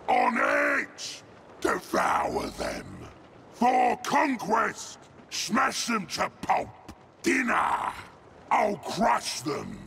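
Game ogre king: gluttonous, boastful, bellowing, forceful and drawn-out delivery, gravel-throated. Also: slight background noise, exaggerated voice. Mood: hungry, savage. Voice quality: gravelly, booming. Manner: pompous, bellowing, slow. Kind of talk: video game unit battle barks.

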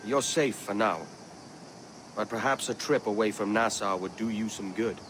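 An actor playing a roguish, protective pirate assassin in a video game, speaking in a matter-of-fact way. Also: male voice, lowish voice, normal speed, noisy background, natural voice. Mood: calm. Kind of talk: video game dialogue acting.

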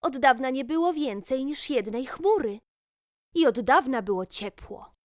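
Female storyteller for rhymed children's tale: higher voice, unhurried, theatrical, dry close sound. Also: animated, dry recording. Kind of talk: rhymed, expressive children's fairy-tale narration.

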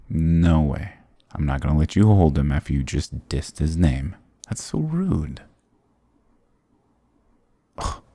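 Very deep, gravelly flirty goth guy, acted by a man. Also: mellow, no room sound, full character voice. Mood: playfully indignant, then mock-dramatic, teasing. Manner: teasing, affectionate, mock-dramatic. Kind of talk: intimate ASMR roleplay acting.

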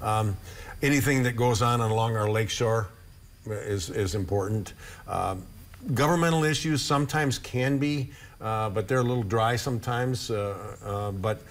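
A man's voice: lowish voice, moderate pace. A man in his sixties, a blogger who has lived in Upper Michigan for decades, talks in a friendly, casual way as a guest in a TV studio, often repeating a word as he starts a sentence. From Upper Michigan, USA. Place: TV studio with couch set. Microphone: lapel mic.

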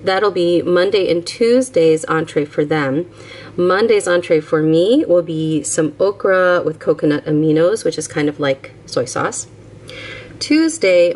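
A young woman talks through her groceries and weekly plan in a relaxed voice-over, ticking items off one after another. Her voice sits a little low for a woman. The delivery is chatty and unscripted. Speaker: vlogger. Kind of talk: casual voice-over narration.

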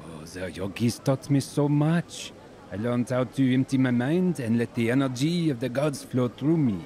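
Actor as a mellow, earnest young Frenchman. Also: mid-range voice, lively intonation, moderate pace, natural voice. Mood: weary, searching. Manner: thoughtful, sprinkles French words. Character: earnest, searching young French musician, soft-hearted and thoughtful. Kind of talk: audio drama acting.

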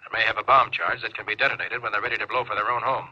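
An actor playing an authoritative, deliberate spaceship captain in a 1950s radio drama. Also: male voice, lowish voice, steady tone, breathy edge. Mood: calm command. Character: commanding, pondering male spaceship captain. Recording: dull, noisy 1950s radio broadcast.

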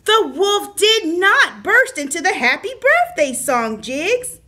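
A woman's voice: extremely high pitch, big pitch swings, takes it slowly, sharp tone, full character voice. A woman voicing an exasperated shaggy dog puppet, the sensible friend, scolding and insistent, in children's puppet theater. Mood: frustrated, emphatic.

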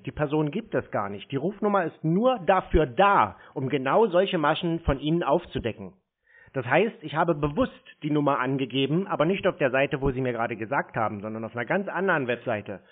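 A man's voice: fairly high pitch, breathy edge. A man speaking bluntly and insistently in an unscripted phone confrontation. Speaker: scam-baiting call host. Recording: tinny phone-like radio sound.

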